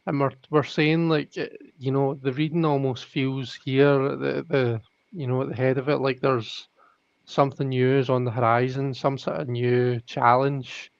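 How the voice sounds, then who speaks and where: A man's voice: medium pitch, level tone, moderate pace, vocal fry, clear, resonant tone, no echo. A man hosting a live tarot stream, thinking aloud in casual chat with many restarts. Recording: dull lo-fi audio.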